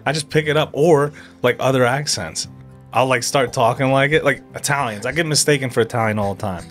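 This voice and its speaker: Animated young guy, says dude a lot. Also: medium pitch, brisk, soft background music. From Erie, Pennsylvania. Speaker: podcast guest. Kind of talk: casual chat.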